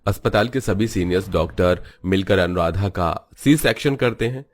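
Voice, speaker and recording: A man narrates a scripted moral tale in a steady, even storytelling voice. His voice sits fairly low. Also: moderate pace.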